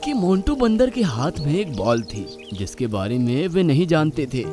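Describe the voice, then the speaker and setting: Animated male children's storyteller over music. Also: fairly high pitch, normal speed. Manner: expressive, animated. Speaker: storyteller, narrator. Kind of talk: scripted children's story narration. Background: background music.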